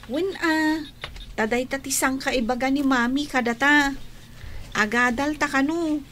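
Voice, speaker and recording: Woman as a high-voiced protective schoolgirl sister. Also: measured pace, fairly bright tone, slight background noise. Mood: reassuring, insistent. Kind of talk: radio drama acting.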